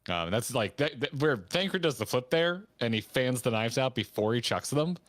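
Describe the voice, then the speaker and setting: A man's voice: mid-range voice, animated, normal speed, fairly bright tone, dry recording. A man co-hosting a gaming stream, giving casual, conversational reaction commentary with enthusiasm for small details.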